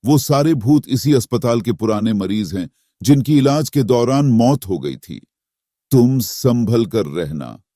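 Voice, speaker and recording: A man voicing a calm, authoritative male asylum doctor who gives measured instructions. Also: mid-range voice, dry recording, studio quality. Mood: warning.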